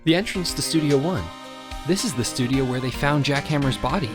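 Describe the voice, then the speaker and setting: A woman voicing a dry, sarcastic young male lawyer with deadpan asides in a video game. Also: deep voice, a little fast, natural voice. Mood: dry, narrating. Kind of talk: scripted game dubbing. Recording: background music throughout.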